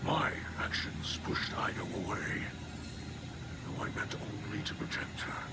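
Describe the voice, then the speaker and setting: A man's voice: fairly low pitch, a little slow, heavy background noise, natural voice. An actor playing a grave, anguished alien leader and father in a video game, speaking in solemn, formal phrases. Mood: grave, worried. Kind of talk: dramatic video game acting.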